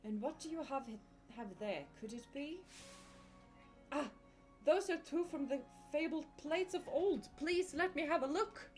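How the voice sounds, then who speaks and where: A woman voicing an excitable, old-fashioned male professor in a video game, trying a Scottish accent, with theatrical, formal phrasing. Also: high-pitched, unhurried, soft background music, voice pushed up. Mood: excited wonder. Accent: put-on Scottish attempt.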